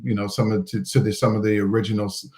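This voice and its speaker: A man's voice: fairly low pitch, level tone, quick pace, a crowd in the background. A man in his forties from Nova Scotia, a coach speaking earnestly as a podcast guest. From Nova Scotia, Canada. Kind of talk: motivational interview talk.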